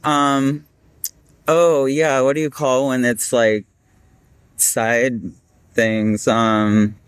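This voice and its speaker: A man's voice: mid-range voice, flat delivery, drawn-out words, smooth, no room sound. A man chatting casually in an online meeting, hesitant and often searching for his words. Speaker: actor discussing his project. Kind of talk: casual chat.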